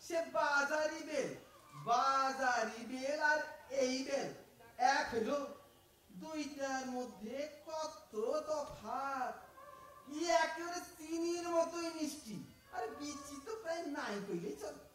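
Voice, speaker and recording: An actor playing a cheeky, smooth-talking fruit thief with an East Bengali accent, stammering and theatrical in an audio drama. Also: male voice, extremely high pitch, slow pace, fairly bright tone, full character voice. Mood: wheedling. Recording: echoey room from far off.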